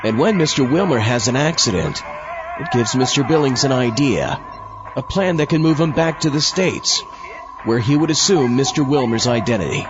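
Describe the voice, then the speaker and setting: A man's voice: medium pitch, animated, normal speed. A man hosting a radio drama show, reading a scripted, dramatic introduction. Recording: dull sound with music bed. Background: music.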